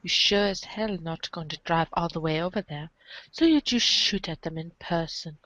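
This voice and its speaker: A woman's voice: on the low side, moderate pace, sharp tone, no room sound, poor audio. A woman reading scripted comic narration aloud for a podcast. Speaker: podcast reader of a webcomic.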